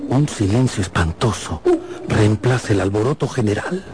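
A man's voice: on the low side, animated, moderate pace, a little hiss or hum. A man narrating a radio drama in a theatrical, suspenseful style. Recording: loud music behind.

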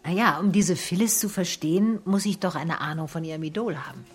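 A woman's voice: fairly low pitch, fairly quick, husky. An actress playing a female private detective who narrates her case in a dry, matter-of-fact radio drama style. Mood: matter-of-fact narrating.

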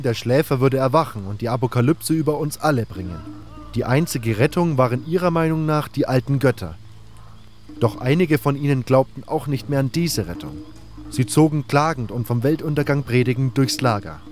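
A man's voice: medium pitch, animated, normal speed, darkish tone. A man reading scripted first-person narration for a fantasy audio drama. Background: music.

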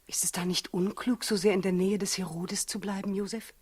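An actress playing a pregnant young wife, anxious yet resolute, in a 1960s German radio play, with a breathy, husky voice. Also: female voice, medium pitch, fairly even intonation, fairly quick, darkish tone, lightly acted. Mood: anxious, tender.

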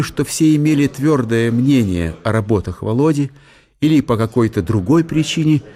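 A man reading literary narration for a radio play in an expressive, theatrical storytelling manner. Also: mid-range voice. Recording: studio radio play with faint music.

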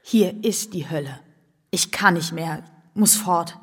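A woman's voice: lowish voice, takes it slowly, a bit bright, breathy edge, full character voice. An actress playing a poor, hungry woman, desperate yet pitying, in a radio drama. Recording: long hall reverb.

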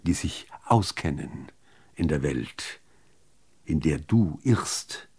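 A man reciting literary poetry in deliberate, measured phrases with pauses between them. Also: extremely low pitch, animated, darkish tone, slightly rough. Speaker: reader of poetry.

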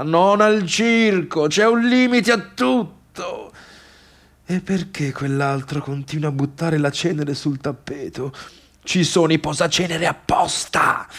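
Sarcastic failed musician, Tuscan accent, slightly high voice, colloquial complaining, bitter theatrical radio monologue. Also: male voice, full character voice. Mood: irritated, sarcastic. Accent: Tuscan Italian. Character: bitter, sarcastic male failed pianist turned music critic, grumbling at guests.

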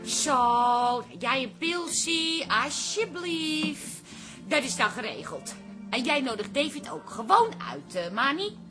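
A woman's voice: high voice, big pitch swings, slow, over music, exaggerated voice. A woman acting a bossy, no-nonsense pub landlady in a radio comedy drama, giving orders and scolding. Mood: brisk, satisfied. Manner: scolding, commanding.

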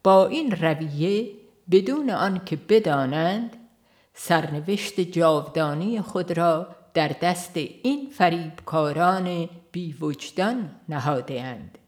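A woman reading a devotional book aloud in a slow, earnest, reflective way. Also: fairly low pitch, expressive, a little mellow. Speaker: audiobook reader. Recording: faint instrumental music behind.

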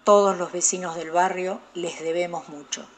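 A man's voice: sits high, steady tone, normal speed, slight background noise, natural voice. An actor performs a remorseful Argentine policeman in a radio play. He confesses in an earnest, sombre monologue, as a man weighed down by guilt. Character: remorseful male federal police officer confessing about a past repression.

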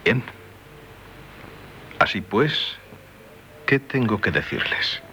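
Radio drama courteous, grave gentleman, forceful tone, animated phrasing, earnest. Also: lowish voice, drawn-out words, heavily acted. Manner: formal, polite, earnest. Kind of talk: 1970s radio drama acting. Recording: noisy 1970s radio broadcast recording.

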